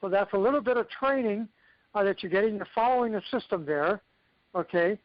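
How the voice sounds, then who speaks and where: A man's voice: high voice, brisk, no echo. A man speaking as a success coach, giving an instructive motivational training talk to his team on a conference call and often checking in with "okay". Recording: dull lo-fi conference call audio.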